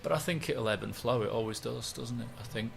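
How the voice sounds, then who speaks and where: A man, a stand-up comedian chatting in a relaxed, rambling, self-mocking way. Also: mid-range voice, a little fast, creaky, noisy background. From West Yorkshire, England. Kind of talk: relaxed interview chat.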